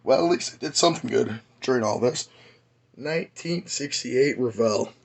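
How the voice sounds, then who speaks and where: A man, hoarse and losing his voice, giving a rambling, enthusiastic hobby update on his model car builds. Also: medium pitch, animated, moderate pace. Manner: rambling, enthusiastic, many fillers.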